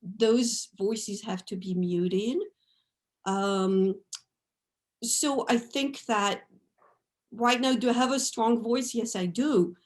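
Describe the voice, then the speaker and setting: Lady in her 50s, interview guest, reflective, lots of "right?" and "you know", mid pitch. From France. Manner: thoughtful, often adds "right?" and "you know". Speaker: guest artist.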